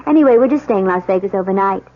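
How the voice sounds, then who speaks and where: An actress playing an eager, trusting young newlywed bride in a radio drama, chatty and earnest. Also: female voice, mid-range voice, expressive, rapid-fire, close to an everyday voice. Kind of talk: 1970s radio drama acting. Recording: tinny, noisy old radio broadcast.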